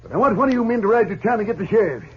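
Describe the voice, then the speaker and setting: High-voiced, bossy suspicious rancher. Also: male voice, animated, racing. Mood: determined. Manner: forceful, bossy. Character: hard-headed, suspicious rancher leading a posse. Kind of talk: 1950s radio western acting. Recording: very noisy dull 1950s radio recording.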